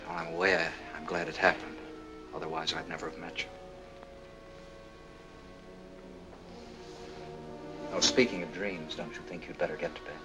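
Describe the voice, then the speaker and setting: An actor playing a devoted, insistent young fiancé with earnest, emphatic 1940s film noir delivery. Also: male voice, deep voice, lively intonation, fast talker, creaky, poor audio. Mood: tender. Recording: old film soundtrack, faint music.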